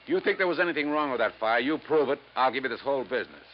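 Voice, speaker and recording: A man's voice: medium pitch, lively intonation, rapid-fire, close to an everyday voice. Man acting a blustering, harried store boss, swooping voice. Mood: aggrieved, blustering. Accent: American. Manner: blustering, slangy, self-pitying. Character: harried, crooked middle-aged furniture store boss, blustering hustler. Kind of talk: 1950s radio detective drama acting. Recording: dull, noisy 1950s radio recording.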